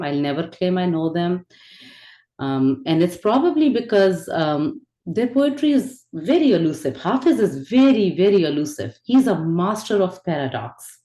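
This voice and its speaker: A woman whose first language is Urdu gives an earnest, reflective online lecture, pausing on fillers and restarting her sentences. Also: low-pitched, dark tone, echoey room. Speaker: teacher of an online spirituality class. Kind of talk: informal lecture. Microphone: video call.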